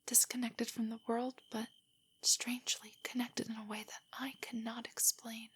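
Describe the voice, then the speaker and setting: A woman playing a shy, nerdy young girlfriend in an intimate audio roleplay, soft and breathy, stammering with nerves. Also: a little high, flat delivery, moderate pace. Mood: awed, soft. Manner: nervous, stammering, hesitant rambling. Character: shy, nerdy, easily flustered young adult girlfriend on a date.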